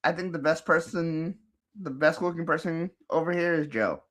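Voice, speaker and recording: A man's voice: fairly high pitch, fairly even intonation, moderate pace, a bit bright. A man appearing as a guest on a podcast, chatting casually in a friendly way and giggling often. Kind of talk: casual chat.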